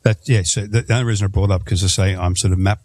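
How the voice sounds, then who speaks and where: A man's voice: low-pitched, steady tone, fast, darkish tone, dry recording. A man hosting a podcast, chatting casually and asking his guests questions. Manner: conversational, asks questions. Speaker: podcast host. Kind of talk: casual interview chat.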